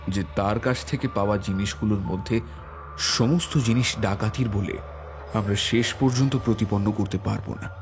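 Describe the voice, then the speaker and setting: A man narrating a detective audio story in the first person as a police inspector, with measured, deliberate dramatic phrasing. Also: fairly low pitch. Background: background music.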